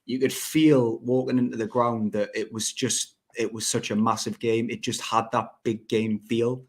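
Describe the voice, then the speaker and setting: A man's voice: mid-range voice, level tone, moderate pace. A man of about thirty, an enthusiastic football fan on a podcast, speaking emphatically with a voice just back after losing it. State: voice recovering after being lost. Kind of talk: casual football match review.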